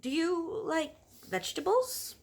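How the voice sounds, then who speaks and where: A woman's voice: very high-pitched, big pitch swings, measured pace, vocal fry, darkish tone, pitched above the performer's own voice, heavily acted. A woman voicing a curious, polite but spirited young girl in a children's storybook, asking questions. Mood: questioning. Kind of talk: children's storybook read-aloud.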